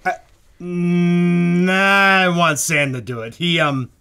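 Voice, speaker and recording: A man playing a fretful, hurried studio boss in a live old-time radio drama, stammering and repeating himself. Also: high voice, fairly even intonation, creaky, pushed and bright, clean tone, exaggerated voice. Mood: reluctant.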